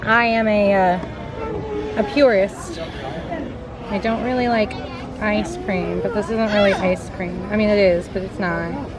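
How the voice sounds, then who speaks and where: A woman's voice: medium pitch, unhurried, long pauses. A woman in her thirties chatting casually on a vlog at a theme park, candid and a little hesitant, recorded on a handheld camera. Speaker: vlogger. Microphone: handheld camera at arm's length. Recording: outdoor park with music and crowd noise. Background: crowd and park music.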